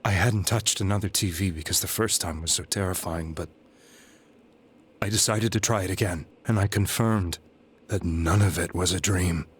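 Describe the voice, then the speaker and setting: An actor playing a despondent, guilt-ridden young man in a video game, telling his story in a grave, halting way. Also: low voice, breathy edge. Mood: grave, subdued. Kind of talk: dramatic video game voice acting, confessional monologue. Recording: background music under the voice.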